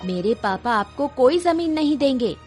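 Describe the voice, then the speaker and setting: A woman's voice: high voice, expressive, normal speed, a little mellow, soft background music. A woman voicing a sensible, outspoken young daughter of a poor farmer in a cartoon story, plain-spoken and earnest. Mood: firm.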